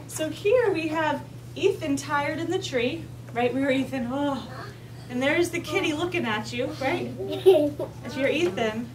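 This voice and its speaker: High-voiced woman teaching young children, distant mic. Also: expressive, measured pace. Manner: simple instructional phrasing with frequent check-in questions. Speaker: kindergarten teacher leading a read-aloud. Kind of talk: interactive read-aloud lesson to young children. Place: kindergarten classroom with children. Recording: distant mic, clearly noisy room ambience.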